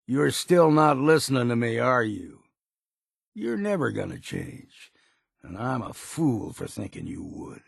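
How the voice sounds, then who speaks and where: An actor playing a gruff, weary old hunter in a video game, blunt and proud, with a gravelly, husky voice and a folksy, rustic drawl. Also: male voice, mid-range voice, sing-song, measured pace, no room sound, studio quality, heavily acted. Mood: angry, fed up. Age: older. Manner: folksy, plain-spoken drawl with rustic grammar. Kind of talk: video game character dialogue.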